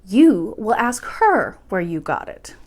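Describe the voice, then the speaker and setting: A woman voicing a kindly, serene, magical grandmother in a storybook, speaking calmly and patiently as she explains. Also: mid-range voice, takes it slowly. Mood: reassuring. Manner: calm, patient, instructive. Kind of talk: storybook character voice in a read-aloud.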